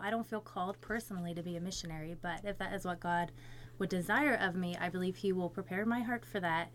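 A woman's voice: lowish voice, a little hiss or hum. A woman in her thirties from Florida, a missionary guest giving a conversational personal testimony. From United States, Florida.

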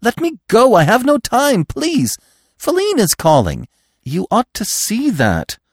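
A man voicing an impatient, lovestruck young male deer in a storybook, pleading urgently in an excitable manner. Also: very high voice, very slow, no echo, studio quality, voice pushed up, exaggerated voice.

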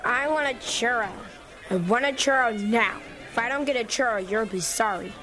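A woman's voice: a little high, moderate pace, pushed and bright, faint music behind. A woman voicing a bratty, entitled young son in a comedy audio drama, insolent and demanding.

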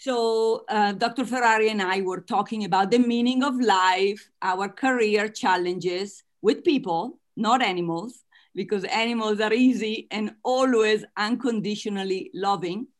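A woman's voice: mid-range voice, measured pace. A woman with an Italian accent, answering interview questions earnestly and laughing lightly between points. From Italy. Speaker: guest author.

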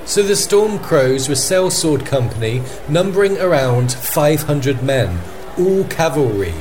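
Man playing higher-voiced, animated war correspondent. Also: unhurried, soft background music. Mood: earnest. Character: earnest male war correspondent in a fantasy city. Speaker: field correspondent. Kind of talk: radio news report roleplay.

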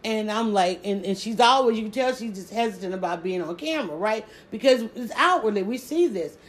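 A woman with a Southern accent chatting casually on a live stream, talking quickly and restarting her sentences often. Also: medium pitch. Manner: rapid, stumbling restarts, animated emphasis. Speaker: social media creator.

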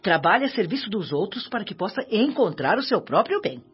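Actress playing a wise old motherly spirit, lively melody. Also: mid-range voice, brisk, natural voice. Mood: warm, encouraging. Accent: Brazilian. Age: older. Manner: maternal, earnest, moralizing with gentle tag questions. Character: kindly wise elderly mother spirit, devout mentor. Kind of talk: radio drama acting. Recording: dull audio with background music.

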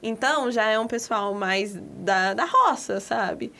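Higher-voiced woman from Minas Gerais. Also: expressive, takes it slowly, vocal fry, bright, forceful tone. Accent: Minas Gerais Brazilian Portuguese. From Minas Gerais, Brazil. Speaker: conversation participant. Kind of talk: casual chat among friends.

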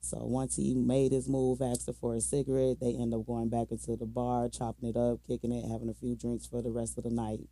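Dark-voiced American man with a gravelly edge. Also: medium pitch, monotone, brisk, few pauses. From Minnesota, United States. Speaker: podcast co-host telling a true crime case. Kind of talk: casual true crime storytelling with slang.

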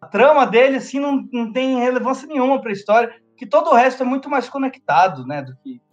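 Slangy high-voiced podcast guy. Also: expressive, fast, lo-fi sound, faint music behind. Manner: slangy, loose, laughs often. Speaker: podcast co-host. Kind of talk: casual film review chat.

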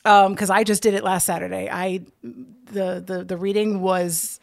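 A woman's voice: mid-range voice, little pitch movement, a little slow, vocal fry, no echo, studio quality. A woman speaking as a podcast guest in casual, candid, emphatic conversation. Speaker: podcast guest, table-read organiser. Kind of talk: casual interview chat.

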